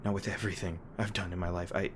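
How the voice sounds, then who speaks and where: A man playing a gruff, guilt-ridden monster hunter with a tender side, speaking haltingly and emotionally in an intimate audio roleplay. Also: sits low, little pitch movement, natural voice. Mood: resolute, then ashamed. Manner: halting, stammering, emotional, swearing. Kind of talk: intimate dramatic audio roleplay addressed to listener. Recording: noticeable background noise and ambience.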